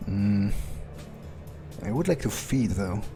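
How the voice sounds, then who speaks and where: A man's voice: on the low side, expressive, takes it slowly, music in the background. A man playing a contemptuous, scheming vampire in a game roleplay, sneering, foul-mouthed and musing. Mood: musing, weary. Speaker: game player staying in character. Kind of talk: in-character roleplay playthrough.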